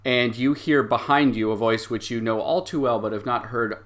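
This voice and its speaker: A man narrating an improvised tabletop game as game master, halting and backtracking mid-sentence. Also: medium pitch, fairly bright tone.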